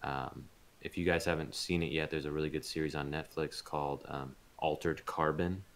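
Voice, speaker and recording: Guy with a super deep low voice, chatting casually in an interview, loose and informal. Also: fairly even intonation, moderate pace. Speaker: interview guest, voice actor and audio drama producer.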